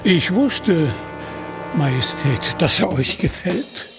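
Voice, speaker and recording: A man voicing a calm, scheming, smug sheriff in a storybook, speaking in a quiet, sly manner. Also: fairly high pitch, takes it slowly, creaky, music in the background, exaggerated voice. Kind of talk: storybook character acting.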